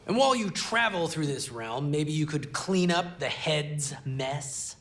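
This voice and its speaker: An actor playing an easygoing, sardonic dwarf musician in a video game, with a nonchalant, teasing delivery. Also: male voice, fairly high pitch, animated, measured pace, fairly bright tone. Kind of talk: video game character acting.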